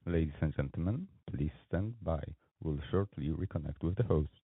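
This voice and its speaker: A man's voice: very deep voice, moderate pace, breathy edge, no echo. A man working as a conference call operator, making a formal announcement over the phone. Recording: dry tinny phone line.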